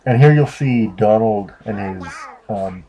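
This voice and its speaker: A man's voice: lowish voice, measured pace, dark tone. A young man giving casual, unscripted video game commentary in a hesitant, rambling, offhand way. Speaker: let's play commentator. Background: video game music.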